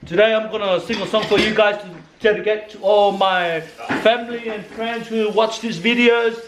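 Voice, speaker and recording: A man's voice: high-pitched, fairly even intonation, moderate pace. A man with a Samoan accent hosting a casual vlog, playful and talking in loose, broken English. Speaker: vlog host. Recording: echoey room with clear background noise.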